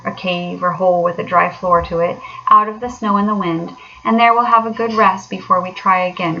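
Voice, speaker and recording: Woman playing an eager, excitable storybook rat, bright voice. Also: on the low side, highs cut off, a little hiss or hum. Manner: earnest, eager, exclamatory. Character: kindly, excitable male rat, practical storybook animal.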